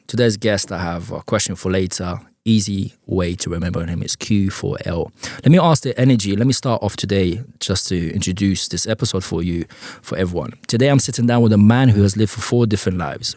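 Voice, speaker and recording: A male interview host asking questions in a halting way, with frequent restarts. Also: on the low side, dark tone, no room sound, clean studio sound. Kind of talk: interview hosting.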